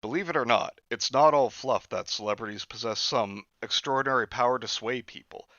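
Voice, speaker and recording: Bright, dry-voiced man reading sarcastically. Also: mid-range voice, a little fast, no echo, lo-fi sound. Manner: sarcastic asides between read passages. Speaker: host reading a book aloud. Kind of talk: mocking live-stream book reading.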